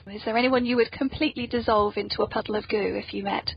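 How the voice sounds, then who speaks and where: A woman's voice: a little high, fairly even intonation, fast talker, slight background noise. A woman hosting a casual, playful interview chat, talking in a self-deprecating way. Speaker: podcast host and author. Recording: dull lo-fi podcast audio.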